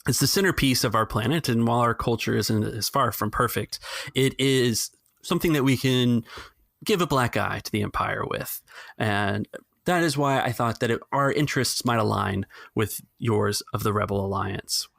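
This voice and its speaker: A man's voice: mid-range voice, normal speed, gravelly, a bit bright, no room sound, studio quality. A man narrating a tabletop game as game master, talking in a hesitant, rambling way full of fillers.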